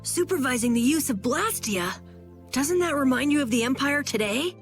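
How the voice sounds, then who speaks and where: Excitable, very high-pitched young boy. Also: moderate pace, soft background music. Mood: earnest, surprised. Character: excitable young boy adventurer, earnest and curious. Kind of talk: anime-style game voice acting.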